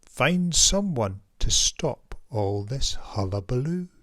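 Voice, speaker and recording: A man's voice: medium pitch, big pitch swings, slow, a bit bright, no room sound. A man voicing a stern, concerned female town mayor in a storybook, making official proclamations. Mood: frowning, announcing.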